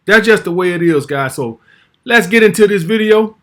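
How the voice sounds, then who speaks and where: Host in his 40s, high pitch, brash and profane, casual commentary. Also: expressive, moderate pace, slight background noise. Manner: blunt, brash, swears freely.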